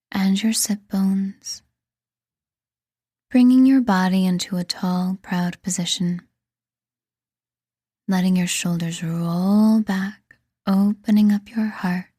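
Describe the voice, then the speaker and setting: A woman reading a scripted guided meditation in a soothing, deliberate manner, leaving pauses between phrases. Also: medium pitch, dark tone, husky, no room sound, studio quality. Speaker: meditation guide and podcast host.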